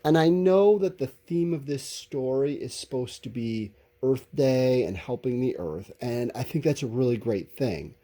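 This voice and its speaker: A man's voice: medium pitch, lively intonation, unhurried, few pauses, warm tone. A man teaching a recorded lesson, talking informally to his students and explaining things in a candid, conversational way.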